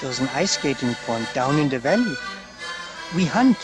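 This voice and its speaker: An actor playing a wry, genial hunter from a magical painting in an unhurried, theatrical audio play style. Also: male voice, medium pitch, big pitch swings, music in the background, natural voice. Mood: cheerful.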